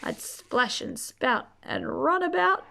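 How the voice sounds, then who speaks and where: A woman reading a rhyming children's book aloud expressively, drawing out key words and chatting gently to young listeners. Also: very high voice, creaky. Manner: animated, drawn-out key words, gentle questioning asides. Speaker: storybook reader reading to children.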